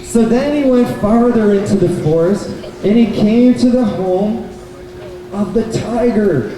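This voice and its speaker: A man's voice: high voice, measured pace. A man telling a puppet-show story to children in simple, repeated phrases, often putting questions to his listeners. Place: bookstore event space. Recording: very echoey hall, distant mic, noisy.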